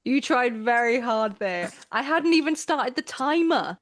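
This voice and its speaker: A woman's voice: on the high side, animated, a little slow. A woman from Wales with an English accent, interviewing a guest in a chatty, friendly way and laughing often. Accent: English, often taken for London. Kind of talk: casual interview.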